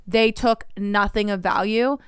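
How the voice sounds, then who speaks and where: A woman in her late twenties co-hosting a podcast, blunt and quick with quips, swearing freely. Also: medium pitch, fairly even intonation, smooth, no room sound, studio quality. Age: late 20s. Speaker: podcast co-host. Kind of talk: casual chat.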